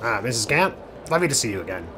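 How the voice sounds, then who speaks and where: A man's voice: medium pitch, sing-song, racing, noisy background, close to an everyday voice. A man playing a courteous, deferential bank clerk in an old-time radio drama, with a fussy, polite manner.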